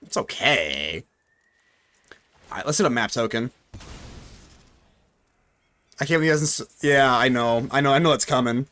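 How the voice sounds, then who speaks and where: Young guy streaming, lively up and down voice, jokey and chatty, mid pitch. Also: normal speed, long pauses. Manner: animated, talks to himself and chat, jokey. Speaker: gaming streamer. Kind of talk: live gameplay commentary.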